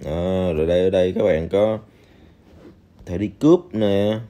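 A man's voice: low-pitched, fairly even intonation, normal speed, dark tone. A man talking casually over a game screen recording, speaking straight to viewers with many fillers. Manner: chatty, addresses viewers directly, many fillers. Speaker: game reviewer.

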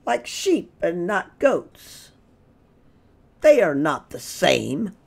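Boastful, indignant storybook hare, played by a woman: very drawn-out, emphatic delivery, swooping pitch. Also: sing-song, creaky, darkish tone, exaggerated voice. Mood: proud, insistent. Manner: emphatic, proudly correcting.

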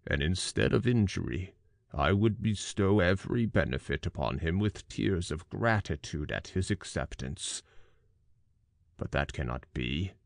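A man voicing a miserable, vengeful monstrous fiend for an audiobook, with slow, weighty, dramatic delivery and a deep, gravelly voice. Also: dry recording, clean studio sound, full character voice. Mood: wounded. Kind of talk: audiobook character acting.

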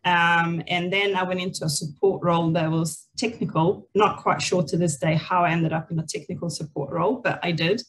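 A woman's voice: on the low side, steady tone, brisk, few pauses, poor audio. A woman in her forties from Sweden, a native Swedish speaker, telling her story conversationally and hesitantly as an interview guest. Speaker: interview guest, people and culture leader. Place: indoors, on a video call.

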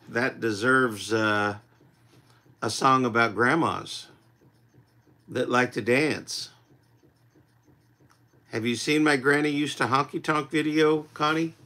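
A middle-aged man in his forties chatting casually on a home livestream, his voice still a little rough after losing it, rambling easily with lots of fillers. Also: mid-range voice. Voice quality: recovering voice, slightly rough. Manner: laid-back, rambling with many fillers. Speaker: country singer hosting a livestream. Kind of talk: casual livestream chat with viewers. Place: home music room, indoors. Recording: loud acoustic guitar in the mix.

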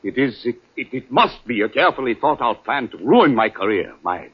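An actor playing an agitated, formal doctor, halting and stilted, in a 1940s radio drama. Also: male voice, mid-range voice, very animated, husky. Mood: distressed. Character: anguished, formal middle-aged European male doctor. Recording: muffled old 1940s radio recording.

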